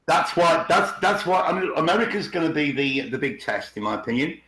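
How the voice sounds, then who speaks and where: A man's voice: fairly high pitch, expressive, brisk, a bit bright, slight background noise. A middle-aged man in casual, opinionated discussion on an online call, rephrasing himself emphatically as he goes. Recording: roomy, echoey online call.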